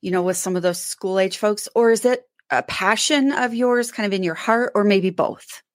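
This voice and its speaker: A woman hosts an interview podcast, asking her questions in a measured, conversational way with the odd restart. Her voice sits at an ordinary middle pitch. The recording is dry and close. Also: dry recording. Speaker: podcast host. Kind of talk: interview questions and sponsor read.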